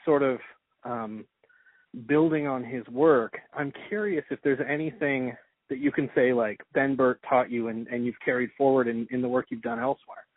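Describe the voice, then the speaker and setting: A man's voice: mid-range voice, normal speed, dry recording. A man conducting a conversational interview as an enthusiastic questioner. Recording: dry, narrow phone-like sound.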